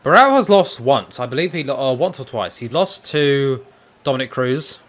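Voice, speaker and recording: A young man casually commentating a fight video game, excitable and breaking into shouts at big moments. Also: mid-range voice, moderate pace.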